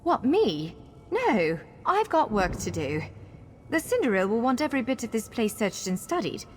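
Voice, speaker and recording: A woman's voice: a little high, very animated, moderate pace, slight background noise. A woman playing an earnest, encouraging female elf scholar who guides a hero in a fantasy video game. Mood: friendly. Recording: long hall reverb, faint music.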